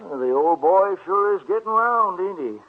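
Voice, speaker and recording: A man's voice: high voice, animated, moderate pace. An actor playing a chatty, nosy small-town postman with a folksy, colloquial way of talking in 1940s radio drama. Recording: tinny old radio recording.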